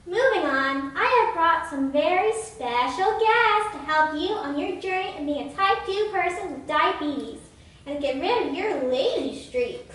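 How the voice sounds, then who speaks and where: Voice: extremely high pitch, lively intonation, unhurried, fairly bright tone, exaggerated voice. A young-sounding performer voicing an earnest, patient health educator puppet in an amateur puppet show, presenting in an instructive way. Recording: echoey room, far from the mic.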